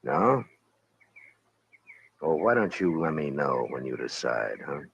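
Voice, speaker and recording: An American man acting a stern, weary Old West marshal in a radio Western, speaking in a gruff, terse, authoritative way. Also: extremely low pitch, sing-song, slow, full character voice. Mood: stern warning. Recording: muffled old radio recording.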